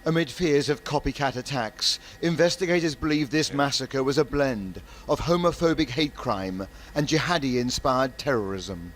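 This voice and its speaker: A man narrating a news report calmly and gravely, also interviewing witnesses. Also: mid-range voice, normal speed, hardly any pauses. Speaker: foreign correspondent.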